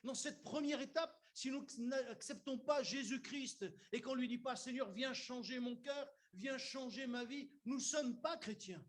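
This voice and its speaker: Older male pastor, high voice, conversational sermon delivery, bursts of emphasis. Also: a little slow, few pauses. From Algeria. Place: church.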